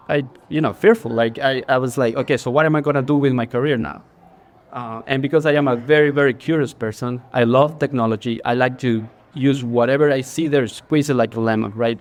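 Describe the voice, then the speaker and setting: A man in his forties from Ecuador with an Ecuadorian Spanish accent, speaking as a guest in a casual stage interview, earnest, with many fillers and restarts. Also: mid-range voice. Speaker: guest, creative director. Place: bar, live audience.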